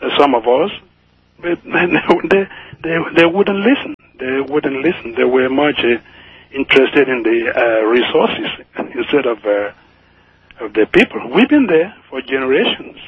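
A man from Nigeria with a Nigerian accent, calling in to a radio show, speaking slowly and carefully with many fillers. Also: medium pitch, expressive. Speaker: caller. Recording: muffled phone line.

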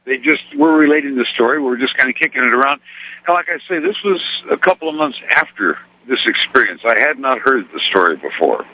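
A man calling a radio show, telling a story in an unhurried, folksy, conversational way. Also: medium pitch, lively intonation, sharp tone, no echo, low-quality recording. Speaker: radio show caller, trucker.

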